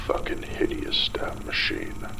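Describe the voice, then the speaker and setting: An actor playing a desperate, unraveling father in a ruined underwater city, muttering a halting, bitter monologue in a gravelly, breathy voice for an audio drama. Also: whispered, close to an everyday voice. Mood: anxious, bitter. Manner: halting, muttering, broken pauses, bitter swearing.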